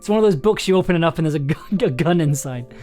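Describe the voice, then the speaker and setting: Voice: mid-range voice, expressive, quick pace, warm tone. Mid-range voice, casual live writing stream, thinking aloud, playful, giggly, music in the background. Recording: music behind the voice. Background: music and computer keyboard typing.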